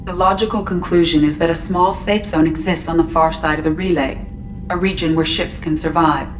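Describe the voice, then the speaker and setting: Woman as a low, detached ship computer. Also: steady tone, brisk, distant mic, a little hiss or hum, close to an everyday voice. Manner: precise, detached. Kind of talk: scripted game dialogue.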